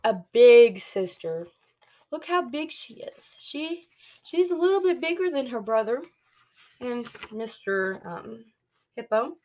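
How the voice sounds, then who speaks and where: A woman's voice: fairly high pitch, sing-song, slow pace, darkish tone. A young woman reading a picture book aloud to small children, stopping to explain and ask them questions. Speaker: preschool read-along storyteller. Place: small dim bedroom, indoors.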